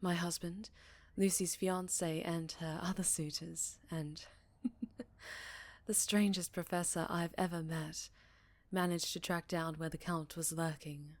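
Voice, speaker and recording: A woman playing a haunted, sorrowful vampire in an ASMR roleplay, telling her tale slowly with a Southern accent and a breathy voice that often slips into whispers. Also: lowish voice, fairly even intonation, no echo. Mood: wistful, recounting. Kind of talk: ASMR roleplay, intimate dramatic storytelling.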